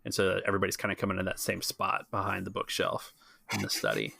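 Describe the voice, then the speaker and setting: A man's voice: low voice, very animated, quick pace, vocal fry, pushed and bright, dry recording. A man acting as game master, narrating an improvised tabletop game in a conversational, explanatory way. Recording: music playing behind the voice. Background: music.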